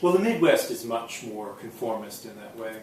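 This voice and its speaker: A man's voice: lowish voice, expressive, normal speed, darkish tone, recorded from a distance. A man interviewing in a casual, conversational style, with wry and slightly hesitant phrasing. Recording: echoey room, off-mic, faint noise.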